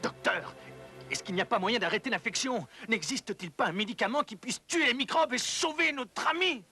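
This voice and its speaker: A man voicing an excitable companion to a doctor in an educational cartoon. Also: extremely high pitch, fairly quick, breathy edge, no echo, heavily acted. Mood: anxious, pleading. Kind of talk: French-dubbed educational cartoon acting. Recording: music under the voice.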